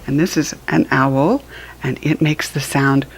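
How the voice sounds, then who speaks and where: A woman's voice: rumbling low, fairly even intonation, a little slow, vocal fry, husky. An American woman reading a picture book aloud to young children, with simple, instructive asides. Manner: acts out animal sounds while reading.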